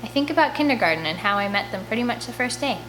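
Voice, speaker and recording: A woman's voice: fairly high pitch, expressive, rapid-fire, a bit bright, slight background noise, rough recording. A woman reading a first-person children's novel aloud as an audiobook, telling the story expressively. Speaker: audiobook reader.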